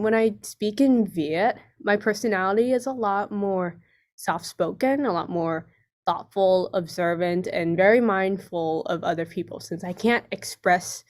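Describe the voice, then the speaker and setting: A young woman from Vietnam, speaking as a panelist in an online discussion, articulate and expressive with a varied tone. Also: medium pitch. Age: young adult. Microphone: video call.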